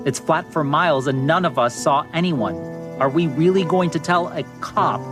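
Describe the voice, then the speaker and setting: A male actor plays a worried, argumentative man in an audio thriller. His voice sits fairly high and sounds incredulous, over a clear bed of music. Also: moderate pace, natural voice. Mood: urgent, incredulous. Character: worried, reasoning young man doubting their alibi. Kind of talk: audio drama acting.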